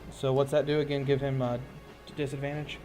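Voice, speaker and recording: A man running a tabletop roleplaying game as game master, improvising measured, descriptive combat narration between rules calls. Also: medium pitch, little pitch movement, a little mellow, faint music behind, poor audio.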